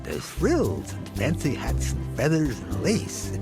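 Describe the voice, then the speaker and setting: An actor playing a vain, gossipy rodent nightclub proprietor in an audio drama, boastful and conspiratorial. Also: male voice, mid-range voice, huge pitch swings, slow, over loud music, exaggerated voice. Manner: boastful, conspiratorial, sometimes dropping to a whisper. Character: vain, chatty rodent club proprietor, male, sly gossip. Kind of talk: theatrical audio drama storytelling.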